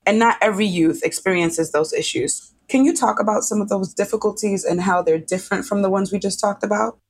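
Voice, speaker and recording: A woman interviewing a guest, setting up questions in a measured, explanatory way. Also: medium pitch.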